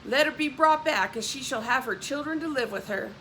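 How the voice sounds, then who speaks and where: A woman's voice: high voice, a little fast, pushed and bright, a little hiss or hum, poor audio. A woman voicing a stern, threatening male slaveholder in an audiobook, with a clipped and coaxing menace. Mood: persuasive. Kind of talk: audiobook character voice.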